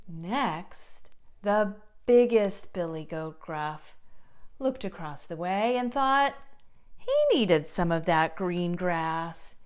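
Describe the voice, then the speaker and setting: A woman's voice: fairly low pitch, animated, takes it slowly, clean tone. A woman narrating a children's puppet show as a storyteller.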